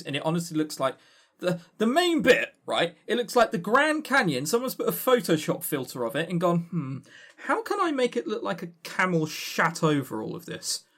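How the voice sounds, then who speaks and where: Animated southern English man, very swooping pitch. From south of England. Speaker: podcast co-host. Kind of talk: casual opinionated chat.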